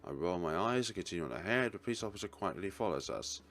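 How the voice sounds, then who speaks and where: Male let's player narrator: low voice, lively intonation, deliberate theatrical read, faint music behind. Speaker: let's player reading aloud. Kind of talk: dramatic read-aloud narration of a visual novel.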